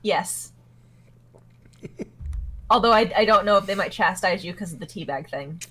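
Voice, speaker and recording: A woman's voice: mid-range voice, unhurried, sharp tone, rough recording. A woman co-hosting a podcast, retelling a TV episode in a chatty way and laughing often. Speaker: podcast co-host. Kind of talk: casual episode recap.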